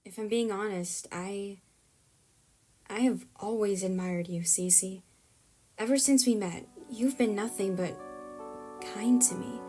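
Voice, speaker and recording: A woman playing an awkward, self-doubting young camera operator in an audio drama, halting and rambling. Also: medium pitch, warm tone, soft background music. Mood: earnest, anxious.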